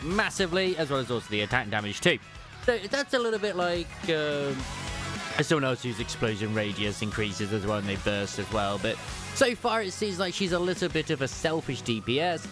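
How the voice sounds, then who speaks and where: A man hosting a gaming video with casual, chatty commentary on a character's skills. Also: medium pitch. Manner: chatty, self-correcting asides. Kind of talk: casual game kit commentary, reading skill text aloud.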